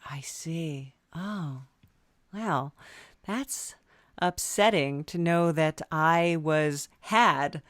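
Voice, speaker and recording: A woman's voice: deep voice, very slow, no room sound. A woman hosting a podcast, interviewing in a dry, playful, rambling way with many fillers. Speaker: podcast host. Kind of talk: improvised comedy interview.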